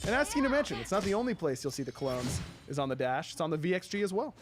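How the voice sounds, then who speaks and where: A man's voice: fairly high pitch, sing-song, quick pace, background noise. A man hosting a casual video game showcase, commenting in an easygoing, approving way. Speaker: show host.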